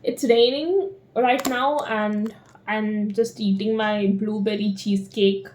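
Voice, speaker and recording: A woman in her thirties chatting casually to camera at home, slow and rambling, with many fillers and soft laughs. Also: medium pitch, steady tone, slight background noise. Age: 30s. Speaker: vlogger.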